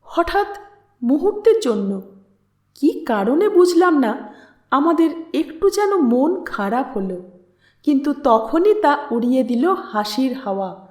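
A woman reading a literary story aloud as an audiobook narrator, in an expressive, dramatic way. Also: sits high, slow. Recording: roomy echo on the voice.

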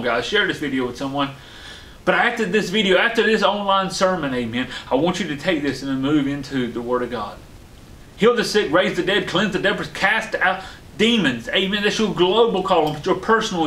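A man's voice: a little high, fairly bright tone. A man in his forties, an online pastor preaching a sermon to camera, fervent and punctuating his lines with amen and hallelujah. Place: studio set with a dark backdrop, indoors. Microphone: fixed camera, seated at a medium distance. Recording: roomy studio echo.

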